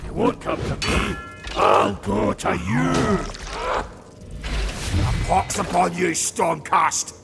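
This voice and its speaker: An actor playing a diseased, blustering plague lord villain in a fantasy game, theatrical and bellicose. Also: male voice, high-pitched, big pitch swings, takes it slowly, creaky, long pauses, in a large hall, music in the background, heavily acted. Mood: menacing bluster.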